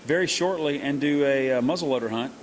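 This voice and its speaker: A middle-aged man, a country singer who hosts a hunting show, answering interview questions in an earnest, halting way with many restarts. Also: mid-range voice, a little hiss or hum.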